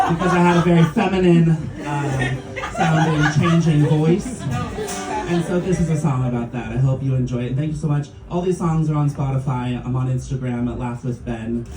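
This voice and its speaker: A man's voice: medium pitch, moderate pace, distant mic. A man in his thirties, a musical comedian, singing jokey story songs with guitar and chatting with the crowd between them. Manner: jokey sung storytelling, chuckling between lines. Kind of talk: comic songs with guitar and stage banter. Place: small bar venue stage, live audience. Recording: roomy live club recording from afar, with guitar.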